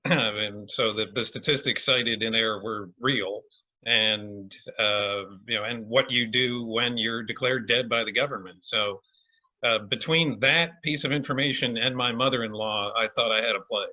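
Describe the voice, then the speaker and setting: Dry-humored man, bright voice, dull call audio. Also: medium pitch, unhurried. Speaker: playwright. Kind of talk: casual talkback conversation. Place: video call.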